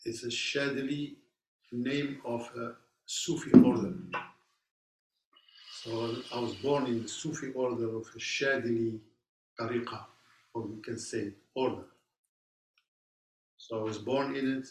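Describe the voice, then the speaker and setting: A man's voice: medium pitch, fairly even intonation, a little slow, pauses often, a little hiss or hum. A man from Morocco, speaking as guest teacher to a class in simple, storytelling phrases. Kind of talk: informal talk to a class. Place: meditation hall. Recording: echoey hall, mic far off.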